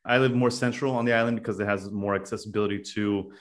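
A young man from California, a podcast guest telling his story in a loose, casual way with many pauses and "uh"s. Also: lowish voice, steady tone. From California, USA. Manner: loose, thinks aloud with many "uh"s.

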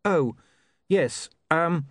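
A man's voice: fairly high pitch, animated, very slow, fairly bright tone, husky, dry recording, pitched above the performer's own voice, heavily acted. A man voicing a flustered, guilty young newspaper man with a hesitant, apologetic manner in an audiobook.

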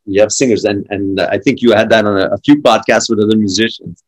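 A middle-aged man from France with a French accent, a drummer chatting casually and telling animated stories full of restarts. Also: fairly low pitch, fast talker, low-quality recording. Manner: animated storytelling with frequent restarts. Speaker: drummer and podcast guest. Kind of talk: casual conversation.

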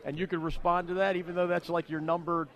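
A man hosting a radio interview, talkative and fond of jokes, with a somewhat high voice. He keeps the questions coming and chats easily with his guest. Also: level tone, fairly bright tone, highs cut off. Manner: talkative, quick, jokey. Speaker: radio show host.

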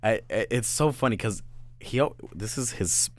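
A man's voice: fairly low pitch, lively intonation, normal speed. A man in his twenties chatting casually, slangy and loose, saying "bro" a lot and swearing freely. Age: 20s. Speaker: podcast host. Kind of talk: casual chat.

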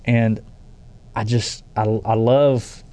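A man's voice: medium pitch, steady tone, slow pace, mellow. A man co-hosting a faith podcast, talking in an earnest, emphatic way and often restarting phrases. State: stuffy with allergies. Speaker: podcast co-host.

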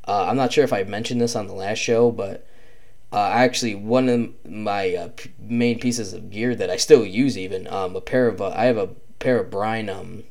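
A young man hosting his own podcast, talking casually and rambling with many pauses and restarts, with a slight cough. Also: fairly low pitch, creaky, a little mellow. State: slight cough, chest feels off. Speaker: podcast host. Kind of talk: casual solo podcast talk.

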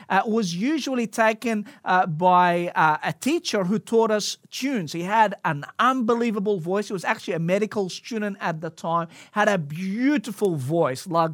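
A man's voice: high-pitched, expressive, unhurried, hardly any pauses, fairly bright tone, dry recording, clean studio sound. A man telling a personal story in a casual, hesitant way, full of fillers and restarts, speaking English as a second language. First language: a language other than English.

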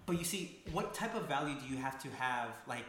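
A man makes his case in casual podcast talk, his bright, slightly high voice pressing the point and swearing for emphasis. The room rings with heavy echo. Faint noise sits underneath. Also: animated, a little fast. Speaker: podcast guest. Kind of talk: casual conversation.

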